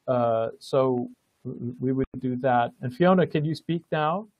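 A man's voice: mid-range voice, a little slow, over music. A man hosting an online planning meeting, talking through slides with many fillers and restarts over a video call. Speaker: meeting host presenting a program. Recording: dull lo-fi call audio.

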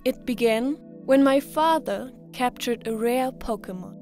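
Woman acting a slow-spoken, solemn young trainer. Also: a little high, darkish tone, natural voice. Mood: wistful, resolute. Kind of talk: dramatic flashback narration in a manga dub. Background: music.

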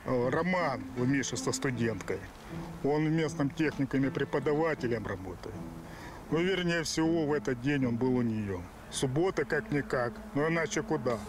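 An actor playing a talkative neighbour who gossips confidently to an investigator. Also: male voice, medium pitch, moderate pace, close to an everyday voice, poor audio. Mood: gossipy. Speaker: neighbour witness.

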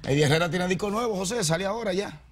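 A man's voice: high-pitched, rapid-fire, a crowd in the background. A man hosting a morning TV gossip segment in a studio, playful and theatrical, teasing his co-host in a sing-song way. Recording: TV broadcast with music behind.

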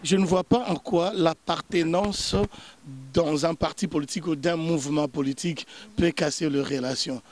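A man's voice: fairly high pitch, steady tone, normal speed. Earnest man stammering through repeated words. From Democratic Republic of the Congo. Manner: earnest, repeats words while searching for phrasing. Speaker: political analyst guest. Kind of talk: interview answers. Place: sunny garden outdoors. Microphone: handheld mic held by interviewer.

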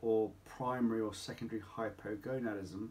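A middle-aged man speaking as a clinic doctor, explaining to camera in an emphatic, deliberate way with rhetorical questions. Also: lowish voice, fairly even intonation. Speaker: doctor at a men's health clinic. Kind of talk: informal explainer talk to camera. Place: small home office or consulting room, indoors.

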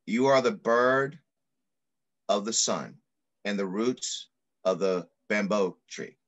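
A middle-aged man reading aloud in the style of a children's picture book, with measured, deliberate phrasing. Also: lowish voice. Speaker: pediatrician reading a story aloud. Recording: muffled video call audio.

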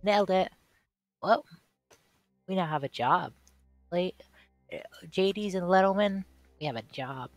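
A woman streaming a video game, chatting casually and reading on-screen text aloud haltingly while she loses her voice. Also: on the low side, lively intonation, a little mellow, no room sound, soft background music. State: losing her voice.

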